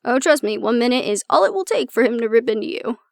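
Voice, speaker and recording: A woman playing an anxious young woman with a tough front and a soft core, confiding casually and with swearing in an intimate audio roleplay. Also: on the high side, lively intonation, fast, vocal fry, dry recording, studio quality. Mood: worried, affectionate.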